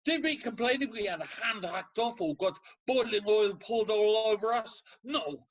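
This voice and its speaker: A man playing a gruff, macho Spartan ex-soldier turned counsellor in a radio play, husky, blunt and sardonic but secretly soft. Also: high-pitched, moderate pace, dull audio. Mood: indignant, proud.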